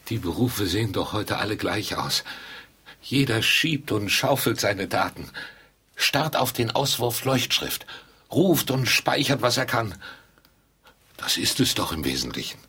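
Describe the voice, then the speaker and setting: An actor playing a resigned, bemused nobody in a radio drama, musing vaguely in a rough, husky voice. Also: male voice, on the low side, big pitch swings, measured pace, pushed and bright, exaggerated voice. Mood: musing, puzzled. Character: vague, unremarkable lonely everyman, resigned and bemused. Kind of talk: theatrical radio drama dialogue.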